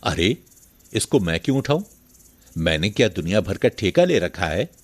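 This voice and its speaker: A callous rich village farmer voiced by a man in a cartoon moral tale. His voice sits fairly low and has a husky rasp. He talks in a gruff, scornful, dismissive way. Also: big pitch swings, normal speed. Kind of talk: moral story cartoon dialogue.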